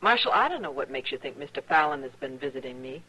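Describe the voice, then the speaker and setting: Frontier wife: very bright, tense, swooping delivery, defensive and pleading, old radio western. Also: female voice, extremely low pitch, big pitch swings, fast, breathy edge, heavily acted, poor audio. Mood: guarded. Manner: pleading, indignant. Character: defensive, distressed frontier wife, adult woman.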